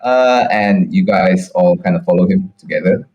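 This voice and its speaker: A man narrating a tabletop game as game master, improvising in a halting way. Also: low voice, a little mellow, highs cut off.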